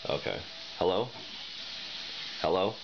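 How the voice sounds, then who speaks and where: Man, extremely deep voice, casual hands-on review, tentative thinking aloud, clearly noisy recording. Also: sing-song, moderate pace. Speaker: product reviewer. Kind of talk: casual unboxing and demonstration talk. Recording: dull lo-fi recording, noisy with clicks and beeps.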